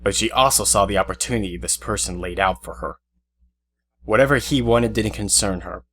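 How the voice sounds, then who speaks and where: A man's voice: lowish voice, brisk, a little hiss or hum. A man reading scripted narration for an audio drama. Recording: loud music and effects behind. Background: loud music.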